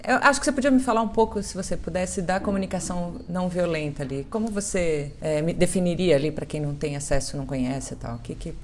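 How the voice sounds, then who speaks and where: A woman from Brazil hosting a podcast, reading a clear scripted introduction and then asking her guest questions, hesitating a little as she phrases them. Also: on the low side, fast, hardly any pauses, a little mellow, slight background noise. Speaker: podcast host and interviewer.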